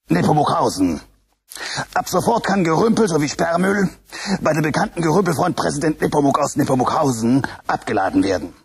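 High-pitched puppet host, lively singsong, gravelly edge, children's radio play. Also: male voice. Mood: mock newsreader. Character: cheerful chatty male puppet show host. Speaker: show host.

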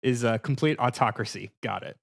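Male podcast host, mid-pitched, casual and mock-serious, steering the panel with probing questions. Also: a little fast, creaky.

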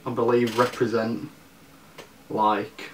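A teenage man with a Leeds accent gives an informal review to camera at an unhurried, slow pace. His delivery is halting, with repeated words. He sounds distant from the mic in an echoey room. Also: medium pitch, flat delivery, slight background noise. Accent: Leeds, Northern English. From Leeds, northern England. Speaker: fashion vlogger. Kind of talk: casual product review to camera. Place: small bare room indoors.

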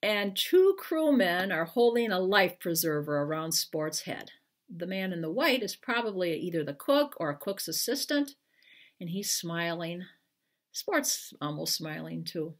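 An older American woman, a children's author, speaking to viewers at home in an expressive, unhurried storytelling manner. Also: fairly low pitch. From Iowa, United States. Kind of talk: picture book read-aloud and informal talk to viewers. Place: living room at home, indoors. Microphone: webcam at arm's length.

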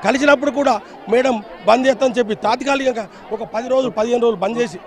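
A man's voice: very high-pitched, quick pace, some chatter behind. A man who is a protesting farmer, talking plainly and colloquially to a reporter outdoors on a road. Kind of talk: street interview.

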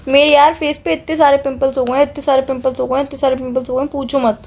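Young girlfriend played by a woman for phone-call drama: high voice with vocal fry, protesting, dodging, repeating excuses. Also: flat delivery, very fast, darkish tone. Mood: making excuses. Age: young adult. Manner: evasive, protesting, repeats herself. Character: evasive, defensive young girlfriend making excuses. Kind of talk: acted phone-call argument between a couple.

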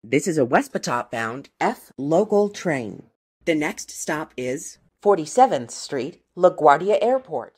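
A woman with a low voice reads formal transit announcements. Her delivery is slow and scripted, in separate pieced-together phrases, with strong swings in pitch. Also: big pitch swings, a little mellow, husky. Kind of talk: scripted automated train station announcement.

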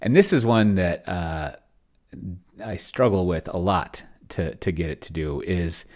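A man's voice: low-pitched, measured pace, darkish tone. A man giving casual screen-share commentary, thinking aloud in a rambling, hesitant way with wry, self-deprecating humour. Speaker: solo tabletop roleplayer, video host. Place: indoors at a computer, screen recording.

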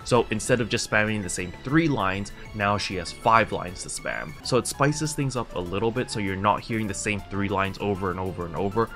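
A man's voice: fairly low pitch, fairly quick, few pauses, bright, forceful tone, soft background music. A young adult man hosting a video game tutorial in a casual, conversational, step-by-step explaining style, recorded indoors at home. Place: small room at home, indoors.

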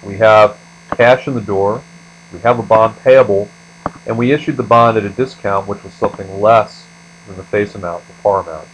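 A male instructor with a fairly low voice walks through material in an explanatory screencast style. He goes step by step, as in a lecture. A faint electrical hum sits behind his voice. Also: fairly even intonation, normal speed, poor audio. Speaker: accounting instructor.

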